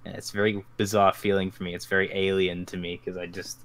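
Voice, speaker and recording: A man with a fairly low voice hosts a loose podcast chat over a voice call. He rambles, swears freely and pokes fun at himself. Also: little pitch movement, fast talker, fairly bright tone. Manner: profane, rambling, self-mocking. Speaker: podcast host.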